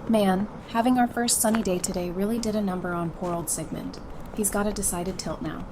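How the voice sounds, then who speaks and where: An actress playing a frank, determined and playful psychiatrist surviving the apocalypse, talking informally in an audio drama. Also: female voice, medium pitch, fairly even intonation, a little fast, lightly acted. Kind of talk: fan audio drama acting. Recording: clearly noisy, with ambience behind.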